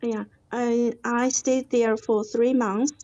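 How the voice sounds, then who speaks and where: Woman, Chinese accent, English learner, slow pace, halting word searches, hesitant conversation, muffled lo-fi recording. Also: fairly high pitch, little pitch movement, dark tone. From China. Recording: dull, lo-fi audio.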